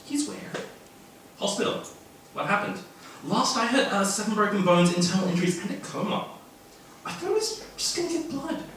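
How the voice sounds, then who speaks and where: A dim, gushing university gossip, played by a man reading a story live. The voice is high and swoops with exaggerated disbelief. It sounds distant in a very reverberant room. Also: expressive, moderate pace, pauses often, a bit bright. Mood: hushed gossip. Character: gossipy, shocked, dim university students trading rumours. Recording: echoey room, distant mic, faint noise.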